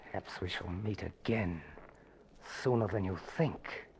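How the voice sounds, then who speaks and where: An actor playing a sinister, vengeful villain in 1940s radio drama, suavely menacing and commanding. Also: male voice, low-pitched, unhurried, a little hiss or hum. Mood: suavely suspicious. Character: sinister, gloating, vengeful villain with murderous pets. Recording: old 1940s radio broadcast.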